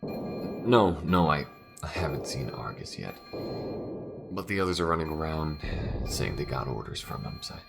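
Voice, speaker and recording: An extremely low, lively-pitched male actor's take on an alien smuggler from a sci-fi audio drama. He is earnest and loyal, talking in halting, confiding phrases straight to the listener. Music plays clearly behind him. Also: full character voice. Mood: urgent, alarmed. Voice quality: very deep, gravelly. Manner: halting and confiding, with frequent pauses. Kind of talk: intimate audio roleplay acting addressed to the listener.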